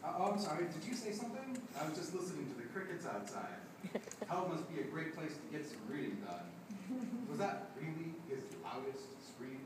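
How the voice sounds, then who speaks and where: A man's voice: on the high side, sing-song, brisk. A man voicing a boastful but nervous Viking warrior in a live puppet show, stammering and hesitant. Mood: curious, uneasy. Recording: echoey room, noisy, distant mic.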